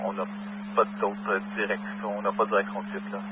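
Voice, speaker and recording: A male police dispatcher with a Quebec French accent comes through a narrow, tinny radio channel. His delivery is clipped and procedural, with very little movement in pitch. Clear static sits around the voice. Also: monotone, brisk.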